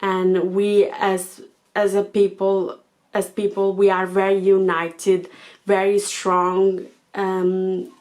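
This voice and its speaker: A Portuguese woman speaking English as a second language, thinking aloud to her channel with many pauses and fillers. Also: mid-range voice, completely flat delivery, a little mellow. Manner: thoughtful, hesitant, with frequent fillers. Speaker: channel host. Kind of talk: reflective monologue to camera.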